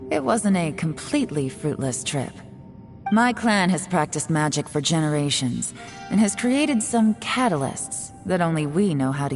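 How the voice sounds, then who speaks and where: A woman voicing a secretive, composed young woman agent in a video game, speaking in a measured, guarded way with heavy sighs. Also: deep voice. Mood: grave.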